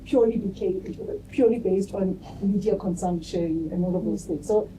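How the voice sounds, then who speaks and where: A woman speaking on a debate panel as a marketing executive, lively and laughing easily between points, often tagging her sentences with "right?". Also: mid-range voice, moderate pace. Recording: distant mic with faint room noise.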